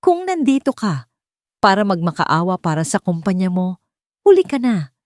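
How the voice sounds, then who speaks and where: A woman's voice: mid-range voice, very animated, moderate pace, a little mellow, no echo, clean studio sound, close to an everyday voice. A woman voicing a cold, vengeful female executive, curt and scornful, in an audio love drama.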